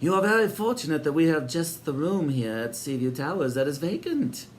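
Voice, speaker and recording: Man doing a sugary fake-nice old lady innkeeper, high, stretching her words. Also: sing-song, a little fast, slight background noise. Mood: sweet. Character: gushing old lady twin hotel keeper, falsely sweet.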